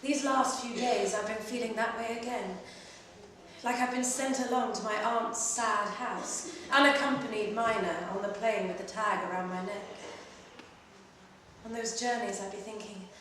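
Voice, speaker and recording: A woman performing a literary short story at a live reading, in an expressive, measured way with pauses between phrases. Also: fairly high pitch, a crowd in the background. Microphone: distant microphone. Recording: very echoey room, distant mic, faint noise.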